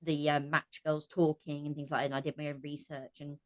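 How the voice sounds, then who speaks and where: Low-voiced woman, close dry sound. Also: little pitch movement, fairly quick, darkish tone, no room sound. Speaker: voice actor, podcast guest. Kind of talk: reflective interview answers, casual.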